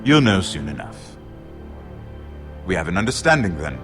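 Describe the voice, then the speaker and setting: An actor playing a shrewd, wry noble lord and royal treasurer in a fantasy game, speaking in a measured, worldly way. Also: male voice, deep voice, big pitch swings, music in the background. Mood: guarded warning. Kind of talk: dramatic video game dialogue.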